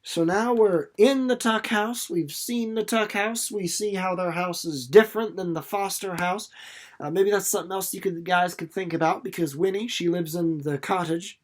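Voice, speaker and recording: A young man with a fairly high voice reads a chapter aloud and talks it over. His delivery is casual and chatty, as if thinking out loud for his listeners. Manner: informal, chatty, musing aloud. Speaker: chapter reader and commentator. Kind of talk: storybook read-aloud with casual commentary.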